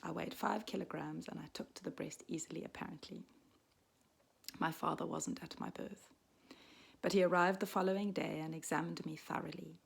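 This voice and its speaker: A woman in her forties, raised in Switzerland, reading a personal story aloud in a soft, reflective way that often drops to a half-whisper. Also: lowish voice, steady tone, moderate pace, creaky, slightly rough. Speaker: midwife and storyteller.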